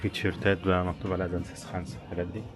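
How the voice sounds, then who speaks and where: Fast-talking Egyptian man, casual vlogger. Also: deep voice, little pitch movement, music in the background. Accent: Egyptian Arabic. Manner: informal running commentary, addressing viewers directly. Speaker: travel vlogger. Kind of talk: casual walking-tour chat. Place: walking around a tourist village and its shops. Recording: busy crowd and street bustle behind.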